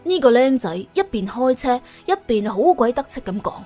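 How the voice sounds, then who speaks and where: A woman's voice: fairly high pitch, very animated, normal speed, warm tone, soft background music. A woman with a Cantonese accent narrating a story in a dramatic, colloquial, humorous way. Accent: Hong Kong or Guangdong Cantonese. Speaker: audiobook narrator. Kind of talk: colourful scripted story narration.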